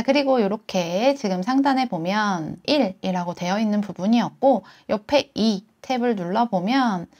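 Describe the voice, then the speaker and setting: A woman hosting a software tutorial, walking through each step as she explains it. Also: medium pitch. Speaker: tutorial host. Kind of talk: software tutorial, screen walkthrough.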